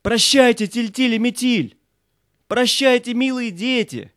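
Man as a high-voiced, friendly fire spirit. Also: unhurried, fairly bright tone, dry recording, studio quality. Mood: fond farewell. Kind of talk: audio play acting.

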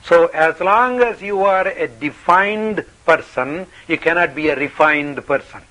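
High bright male teacher, playful. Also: slow pace, rough recording. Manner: playful, questioning, short phrases. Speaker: spiritual teacher. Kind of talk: spiritual talk with live interpreter.

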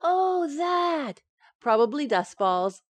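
An actress playing a wisecracking, coy, affectionate young housewife in an old-time radio sitcom, teasing with dry comic delivery. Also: female voice, high voice, big pitch swings, takes it slowly, no room sound, exaggerated voice. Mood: evasive, wry.

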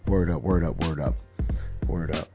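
A man's voice: extremely low pitch, normal speed, highs cut off, music in the background. A man hosting a casual podcast chat about rap songs, laid-back and swearing now and then. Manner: laid-back, swears, says "my bad" and "word up" a lot. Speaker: podcast host.

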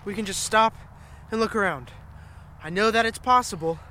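A man's voice: high-pitched, moderate pace, sharp tone, slight background noise. A man playing an eager, evasive boy in an audio drama. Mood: earnest. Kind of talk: naturalistic audio drama dialogue.

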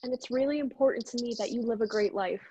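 A woman's voice: a little high, fast talker, dark tone, slightly rough, no echo. A woman playing a frank, encouraging younger dream self in a radio drama, speaking directly and insistently.

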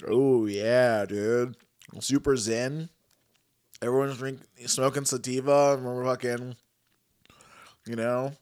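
A man's voice: mid-range voice, fairly even intonation, slow pace, long pauses, darkish tone, clear, resonant tone, no room sound, clean studio sound. Stuffy-nosed laid-back man hosting. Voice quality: stuffy, nasal. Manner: laid-back, joking, swears casually. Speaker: podcast host. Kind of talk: casual chat.

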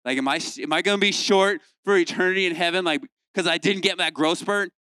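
A man's voice: high-pitched, fairly quick, bright, forceful tone, clean tone, dry recording, clean studio sound. A young man preaching a casual, playful sermon to youth and acting out quoted voices. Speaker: youth pastor.

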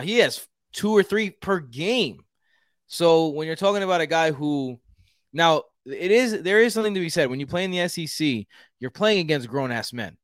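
A man's voice: medium pitch, dry recording, studio quality. A man from Houston co-hosting a casual sports podcast. He talks in a rambling way and repeats words while he thinks. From Houston, Texas. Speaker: podcast co-host.

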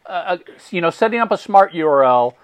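A man's voice: high voice, animated, brisk, dry recording. Man hosting a music marketing podcast. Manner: asks questions with false starts and repeats. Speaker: podcast host. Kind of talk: interviewing a guest.

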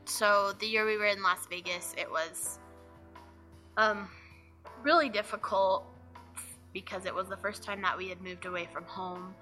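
A young adult woman from Nevada reflecting softly on her church testimony in an interview, with fillers and pauses. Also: mid-range voice, fairly even intonation, soft background music. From Reno, Nevada. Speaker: church member giving testimony.

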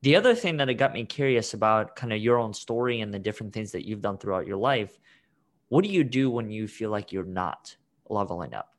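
Thoughtful male podcast host, lowish voice. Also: expressive, a little fast. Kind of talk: interviewing.

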